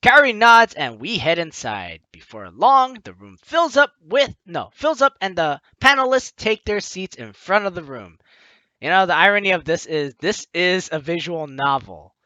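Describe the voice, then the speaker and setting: A man's voice: sits high, big pitch swings, moderate pace, no room sound, muffled recording. A man doing casual let's play commentary, reading game text aloud and adding teasing, sarcastic asides. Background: soft game music.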